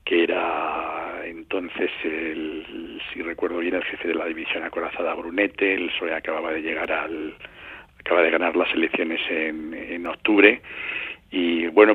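Deep husky Madrid man on muffled phone. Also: dry recording. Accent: Castilian Spanish from Madrid. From Madrid, Spain. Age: middle-aged or older. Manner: halting, measured, searching for words. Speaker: journalist and novelist, interview guest. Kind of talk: radio interview answers. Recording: muffled phone line into radio show.

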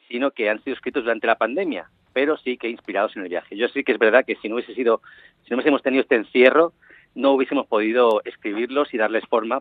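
A man in a relaxed interview over a tinny phone line, his voice dry and close. He speaks at an even middle pitch, pausing and restarting his phrases as he thinks. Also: no room sound. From Canary Islands, raised in Barcelona. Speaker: interview guest, traveling parent and author.

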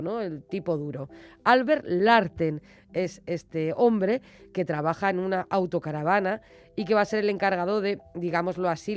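Low-pitched woman presenting a book review. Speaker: radio book show host and reviewer. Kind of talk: semi-scripted book review narration.

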